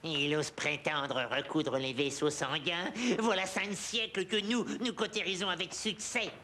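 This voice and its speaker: An actor playing an indignant, rigid army surgeon in a cartoon, blustering in defence of tradition. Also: male voice, a little high, fairly even intonation, unhurried, pushed and bright, a little hiss or hum. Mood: scandalized. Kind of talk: animated cartoon dialogue, French dub.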